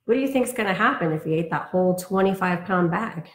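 A woman's voice: lowish voice, lively intonation, fast talker. A woman reading aloud for an elementary storytime, telling it expressively with chatty asides. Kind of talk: read-aloud storytime for children. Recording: roomy, echoing sound.

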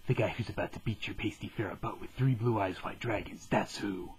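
A man voicing an arrogant, cold young billionaire in a parody anime dub, smug and deadpan with a breathy, hushed delivery. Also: lowish voice, fairly quick.

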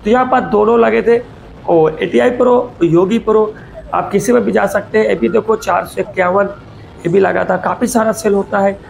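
Man, high voice, gravelly creak, casual vlog showcase, rambling, repetitive, chummy address to viewers. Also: fairly even intonation, normal speed, a little hiss or hum, low-quality recording. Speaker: vlogger. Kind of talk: casual product showcase voice-over.

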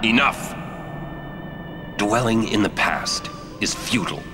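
An actor playing a stern, gruff middle-aged warrior guardian in a video game, curt, blunt and commanding. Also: male voice, lowish voice, expressive, takes it slowly, music in the background. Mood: impatient, stern.

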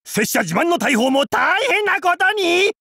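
Game pirate captain, gravelly, husky, hammy, jokey, wildly swinging pitch. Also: male voice, very animated, measured pace, sharp tone, no room sound, clean studio sound, exaggerated voice. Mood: hammy battle cries. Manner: hammy, theatrical, jokey otaku-style speech. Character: boisterous adult male pirate captain, crude and goofy. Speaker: game character voice. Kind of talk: voiced game character lines.

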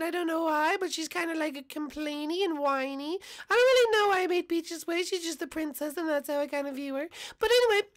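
A whiny sing-song princess. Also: male voice, a bit bright. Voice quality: sing-song, sentences end high. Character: whiny, fussy young princess.

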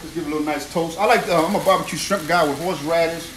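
Excitable New chef in an echoey kitchen. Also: male voice, fairly high pitch, very fast. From New Orleans. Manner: exuberant, repeats phrases, chatty. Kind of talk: live cooking stream chat. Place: home kitchen, indoors. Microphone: phone camera moved around the kitchen. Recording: echoey kitchen, phone mic at a distance, noisy frying. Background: frying and sizzling food.

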